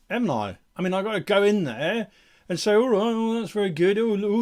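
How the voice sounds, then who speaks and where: A man playing a put-upon lowly underling in a comic monologue, grumbling with aggrieved sarcasm about cleaning up after monsters. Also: a little mellow. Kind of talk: comic monologue in a creature-lore video.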